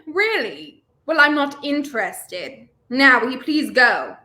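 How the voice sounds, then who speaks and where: Young female actor, prim haughty lady, very high animated voice, 1930s radio drama. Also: slow pace, exaggerated voice. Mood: indignant. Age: 20s. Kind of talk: 1930s radio play acting.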